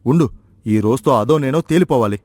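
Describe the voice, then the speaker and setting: A man's voice: mid-range voice, expressive, brisk, dark tone, dry recording, studio quality, close to an everyday voice. A man playing an earnest young husband and protective brother in a story, questioning and emphatic. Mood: alarmed, firm.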